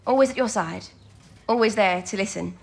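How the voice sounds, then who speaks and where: A young woman playing a bright, affectionate twenty-year-old codebreaker in a period wartime radio drama. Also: mid-range voice, moderate pace, vocal fry, close to an everyday voice. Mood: fond, persuasive. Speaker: actor in an audio drama.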